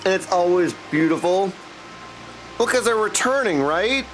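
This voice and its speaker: A man's voice: high voice, lively intonation, normal speed, a bit bright, rough recording. A man chatting casually and goofily with viewers in slangy language while livestreaming a game. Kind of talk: casual livestream chat over gameplay. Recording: music behind the voice. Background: game music.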